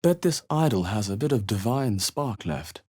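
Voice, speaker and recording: An actor playing a wry, wary adventurer hero in a fantasy video game, speaking in a measured, understated, dry way. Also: male voice, fairly low pitch, lively intonation, darkish tone. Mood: wry, watchful. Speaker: video game player character voice. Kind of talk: scripted fantasy game exploration barks.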